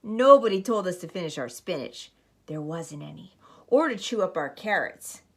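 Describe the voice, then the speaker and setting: A woman storyteller reading a children's picture book aloud with expressive, emphatic phrasing. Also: fairly low pitch, normal speed, breathy edge.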